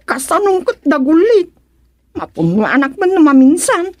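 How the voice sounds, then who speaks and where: An actress playing an exasperated older sister in a radio serial, plaintive and scolding, with a Filipino accent. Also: female voice, high-pitched, big pitch swings, normal speed, a little mellow, dry recording. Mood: defensive. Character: exasperated older sister who peddles goods, fed up with brother. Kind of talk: radio serial drama acting.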